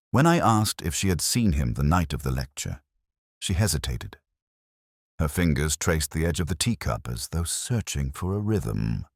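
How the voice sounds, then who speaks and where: Very deep-voiced man narrating. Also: no room sound, clean studio sound. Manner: measured, reflective, deliberate. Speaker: first-person detective narrator. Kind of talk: scripted literary narration.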